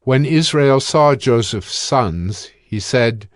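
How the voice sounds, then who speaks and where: A man narrating scripture from a script in a measured, formal manner. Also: medium pitch, expressive, no room sound.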